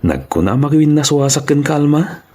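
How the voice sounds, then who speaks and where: A man with an Ilocano accent acting a humble, devoted young man in love in a radio drama, with a husky voice. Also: a little high, sing-song, normal speed, dark tone. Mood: earnest.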